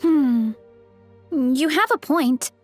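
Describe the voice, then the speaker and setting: High, sweet young heroine. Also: female voice, expressive, very slow, over music, exaggerated voice. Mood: earnest, hesitant. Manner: earnest, gentle, hesitant. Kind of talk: video game character acting.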